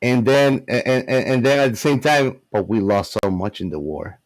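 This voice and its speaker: Male podcast co-host for casual film talk, medium pitch, dry recording. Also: normal speed, no room sound.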